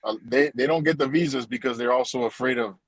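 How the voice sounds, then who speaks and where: Male guest, mid-pitched, blunt and matter-of-fact, dry sound. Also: quick pace, no room sound, poor audio. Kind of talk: casual podcast chat.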